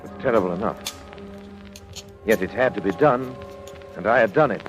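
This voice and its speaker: A low-pitched, cold and controlling doctor played by a man from a vintage radio thriller. He speaks in formal, deliberate, theatrical phrases, every inch the murderous man of science, with dramatic music underneath. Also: highs cut off, close to an everyday voice. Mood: grave narration. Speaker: radio drama actor and narrator. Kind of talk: old-time radio suspense acting.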